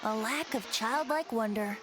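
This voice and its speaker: Light, high boyish bard voiced by a woman. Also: unhurried, over music, close to an everyday voice. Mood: musing. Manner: airy, flowery phrasing. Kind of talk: animated game voice acting.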